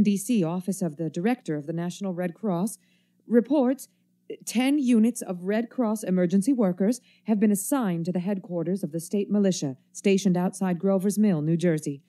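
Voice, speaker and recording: An actress playing a formal, composed radio news announcer reading bulletins in a 1930s-style radio drama. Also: female voice, mid-range voice, warm tone, close to an everyday voice.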